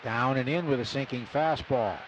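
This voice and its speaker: A man's voice: mid-range voice, moderate pace. A man calling baseball play-by-play on live radio in clipped phrases that swell on big plays. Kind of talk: live sports commentary. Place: broadcast booth at an outdoor ballpark. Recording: noisy live stadium radio broadcast. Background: loud ballpark ambience.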